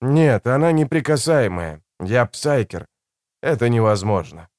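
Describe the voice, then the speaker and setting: A weary older man acted by a male reader speaks at a medium pitch, reserved and grave. His words are terse and subdued, weighed down by guilt. The audiobook recording is close and dry. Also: animated, unhurried, darkish tone, no echo, natural voice. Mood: grim, subdued. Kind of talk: audiobook character dialogue.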